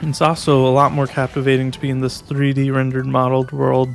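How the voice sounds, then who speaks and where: A young man with a gravelly, creaky voice talks through a casual game review in a flat, level tone. He hesitates and restarts his sentences often, and faint music plays beneath him. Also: medium pitch. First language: English.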